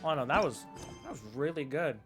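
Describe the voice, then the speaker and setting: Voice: mid-range voice, animated, fairly quick, soft background music. A streamer of ambiguous-sounding voice, chatting casually in reaction to game dialogue.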